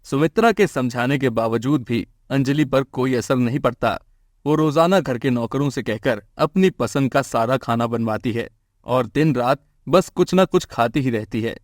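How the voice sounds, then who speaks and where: A man's voice: medium pitch, normal speed, no room sound, studio quality. A man narrating a scripted moral story as its storyteller.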